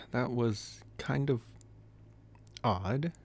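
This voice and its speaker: A man playing a young college vampire, possessive yet gentle, in a casual, intimate ASMR roleplay. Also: medium pitch, extremely slow, breathy edge, exaggerated voice. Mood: musing. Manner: casual, soft-spoken banter with sighs and chuckles.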